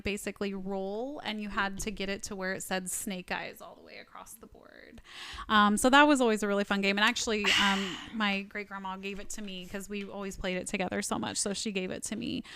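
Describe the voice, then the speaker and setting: A woman's voice: medium pitch, smooth, dry recording. A woman co-hosting a podcast in casual chat, a former teacher with a voice that projects. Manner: projecting, louder voice.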